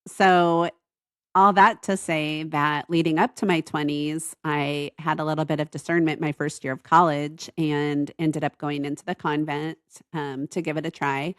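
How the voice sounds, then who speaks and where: A woman in her fifties with a low, deep voice, chatting casually on a family podcast. She tells stories loosely, backing up to fix details. The sound is dry and close. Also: level tone, moderate pace, fairly bright tone, no echo. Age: 50s. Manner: rambling storytelling with self-corrections. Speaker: podcast co-host, mother.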